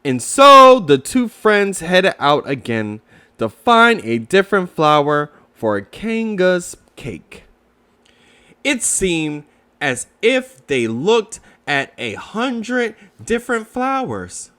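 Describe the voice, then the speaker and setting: A man reading a children's storybook aloud, with expressive, deliberate narration for young listeners. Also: high-pitched, clean tone. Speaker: children's book reader.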